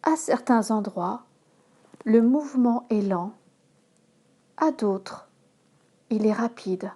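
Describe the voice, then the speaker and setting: A woman yoga instructor leading a guided relaxation in a calm, measured manner, giving instructions in short phrases. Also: on the high side. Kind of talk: slow guided relaxation meditation.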